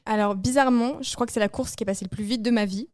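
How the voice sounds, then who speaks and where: A woman's voice: a little high, steady tone, very fast, dry recording. A woman in her early twenties chatting casually as a podcast guest, telling her own experiences in an informal, emphatic way. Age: early 20s. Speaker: podcast guest, amateur runner. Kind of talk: casual chat, personal storytelling.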